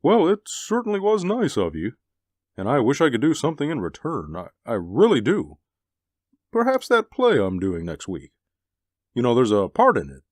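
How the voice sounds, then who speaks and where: Hammy cheery actor type, bouncy voice, dry studio sound. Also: male voice, medium pitch, wildly swooping pitch, moderate pace, no room sound. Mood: chatty. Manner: jovial, theatrical and chatty. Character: breezy, theatrical male stage actor. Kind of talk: 1940s radio acting.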